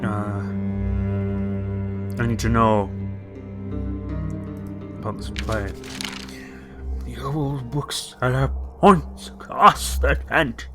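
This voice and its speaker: A man's voice: mid-range voice, steady tone, drawn-out words, long pauses. A man giving let's play commentary, reading game text aloud and adding jokey, rambling asides. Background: game music.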